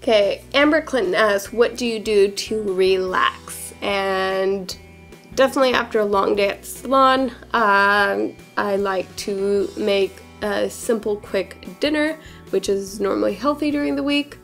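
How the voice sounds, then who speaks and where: Woman, noticeable vocal fry, medium pitch, casual chatty Q&A delivery, faint music behind. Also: unhurried, fairly bright tone. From born in Portugal, raised in California from about age four. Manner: chatty, informal, peppers talk with "like" and "literally". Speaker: hairdresser and beauty vlogger answering questions.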